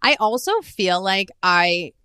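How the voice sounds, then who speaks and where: A woman's voice: mid-range voice, slow, bright, forceful tone, smooth, no room sound, studio quality. A woman in her twenties co-hosting a podcast in casual chat, self-mocking and swearing freely. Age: 20s. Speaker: podcast co-host.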